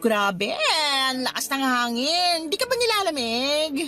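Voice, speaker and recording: A woman's voice: sits high, sing-song, measured pace, sharp tone, soft background music, heavily acted. An actress playing an exasperated young woman with a Filipino accent in a radio drama, scolding her friend in sarcastic slang. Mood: sarcastic, appalled.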